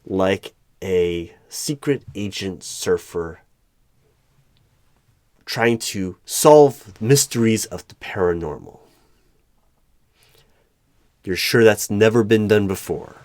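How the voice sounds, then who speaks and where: A man playing an eerie, probing hiring manager in a one-sided ASMR interview roleplay, speaking deliberately with pauses between questions. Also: fairly low pitch, darkish tone, no room sound. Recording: background noise with keyboard typing and clicks. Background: computer keyboard typing.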